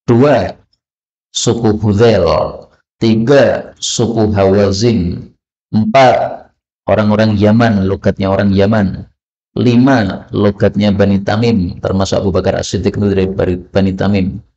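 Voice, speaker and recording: A man's voice: lowish voice, steady tone, measured pace, pauses often, dark tone. A man teaching a religious study lesson, translating texts line by line and asking and answering his own questions. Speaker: Islamic teacher. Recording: dull, lo-fi recording.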